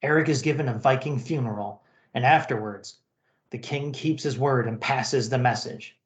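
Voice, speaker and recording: A man's voice: medium pitch, normal speed, roomy sound. A man co-hosting a comic-book podcast, narrating and commenting. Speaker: podcast co-host.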